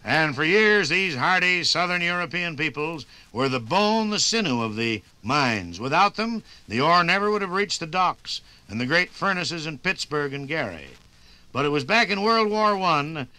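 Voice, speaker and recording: Man, 1950s documentary narration, animated pitch, very sharp forceful tone, emphatic, scripted. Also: normal speed, no room sound, poor audio. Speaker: radio narrator.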